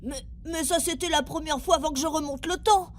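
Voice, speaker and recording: A woman's voice: sits high, a little fast, dry recording, natural voice. A woman playing an earnest, weary seven-year-old intern in an audio drama. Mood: puzzled, thoughtful.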